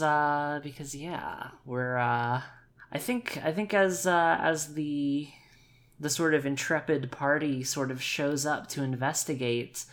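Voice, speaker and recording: A woman with a very deep voice narrates a tabletop game. She speaks slowly and hesitantly, circling back over phrases as she works out what to say. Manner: hesitant, thinking aloud, often repeats phrases. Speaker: player in an actual play tabletop game.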